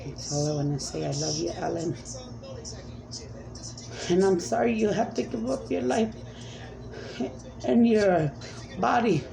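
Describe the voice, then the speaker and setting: A woman's voice: lowish voice, expressive, a little slow, vocal fry, pauses often, a crowd in the background, rough recording. A woman speaking as a self-described spirit medium in a rambling, emphatic monologue, pleading and repeating commands.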